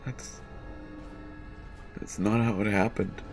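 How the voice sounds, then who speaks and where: A male actor plays a haunted, distraught man confessing in an audio horror drama. His voice is very low and his delivery is slow, halting and full of stammered repeats. Faint music sits behind him. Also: little pitch movement. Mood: anguished, resigned. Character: haunted, distraught male writer jailed for his son's death. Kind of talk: dramatic first-person horror monologue.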